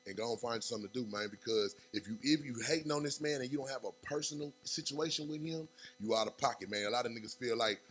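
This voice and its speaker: Fast-talking man, bright and punchy. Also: mid-range voice, faint music behind. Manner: fast, animated street talk with many fillers. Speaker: rapper guest. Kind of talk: casual podcast interview.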